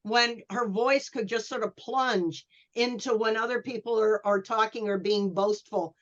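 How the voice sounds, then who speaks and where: High-voiced woman on a dull lo-fi line. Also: fairly even intonation, unhurried, a bit bright. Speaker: panelist. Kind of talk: casual panel discussion. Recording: dull lo-fi online call audio.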